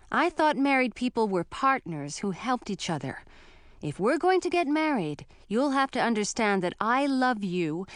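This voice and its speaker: A woman's voice: a little high, no echo, pitched above the performer's own voice. A devoted young fantasy heroine read by a woman narrator, stubborn and insistent. She speaks earnestly, a little raised from the narration, in a close dry recording. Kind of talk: audiobook fantasy character voice.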